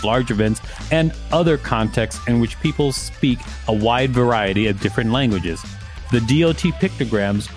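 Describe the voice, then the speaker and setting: Male co-host reader: mid-pitched, informative read with casual asides, light music underneath. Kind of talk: reading an encyclopedia article aloud with casual asides.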